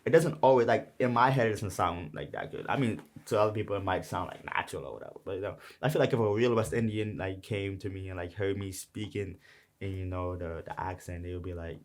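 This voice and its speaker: A man's voice: deep voice, a little fast. A young man from Brooklyn with a New York accent, casually talking through the lines of his song in a rambling, slang-heavy way. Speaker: rapper explaining his song. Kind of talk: casual lyric breakdown.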